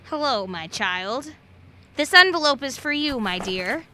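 A serene, mysterious nun speaks very slowly in a bright, fairly high voice. The radio drama recording carries a faint noise. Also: female voice, expressive, exaggerated voice. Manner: slow, serene, benedictory.

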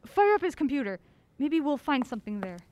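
Woman playing an anxious, high-pitched, lively mystery heroine. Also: brisk, dry recording. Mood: focused, uneasy. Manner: excitable, insistent. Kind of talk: audio drama acting. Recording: clearly noisy audio drama track.

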